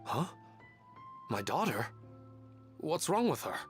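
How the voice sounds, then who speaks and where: An actor playing a worried father in a video game, speaking haltingly and confused. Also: fairly high pitch, big pitch swings. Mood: bewildered. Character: worried father, bewildered, then urgent. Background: faint game music.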